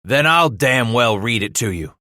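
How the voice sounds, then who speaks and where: A man voicing an angry, domineering frontier father in an audiobook, with gruff, stern delivery and rustic, folksy speech. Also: mid-range voice, lively intonation, moderate pace, bright, forceful tone, no room sound, studio quality. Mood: angry, accusing.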